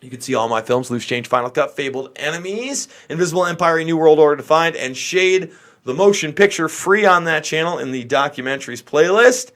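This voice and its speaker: A man's voice: on the high side, lively intonation, fairly quick, a bit bright. An American man doing off-the-cuff livestream political commentary, talking to viewers in an opinionated, exasperated, emphatic manner.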